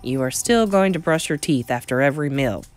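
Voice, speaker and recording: A tender grandmother in her eighties from a children's storybook, acted out by a woman. She speaks low, in a measured and gentle way, frank about hard things. Soft music sits faintly behind her. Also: expressive. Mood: gentle, sombre. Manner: measured, gentle, matter-of-fact.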